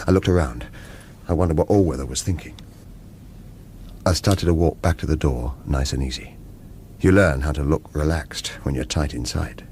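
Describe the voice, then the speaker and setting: An actor playing a weary former hitman in a radio thriller, earnest and persuasive, both arguing and narrating his own story, with a slightly husky voice. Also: extremely low pitch, a little hiss or hum. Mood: tense, reflective. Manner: urgent, insistent, pleading in dialogue, hushed in narration. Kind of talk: radio thriller acting with first-person narration.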